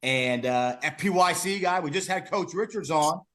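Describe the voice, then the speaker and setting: A man's voice: fairly high pitch, expressive, moderate pace, fairly bright tone. A man co-hosting a football podcast, chatting casually and rambling with repeated words and restarts. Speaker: podcast co-host, former PE teacher. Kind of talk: casual interview.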